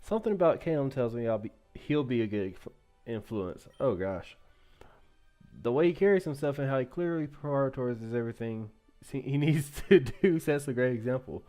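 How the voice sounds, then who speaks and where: A young man with a deep voice reads a visual novel aloud on his gaming channel. He breaks off into playful, joking asides. His pitch is average for a man. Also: lively intonation, moderate pace, dark tone, faint music behind. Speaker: gaming YouTuber.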